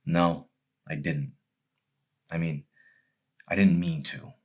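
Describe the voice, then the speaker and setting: A withdrawn teenage boy from a storybook, read by a man. He is brooding and apologetic, with a low, subdued voice and halting delivery. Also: fairly even intonation, husky, exaggerated voice.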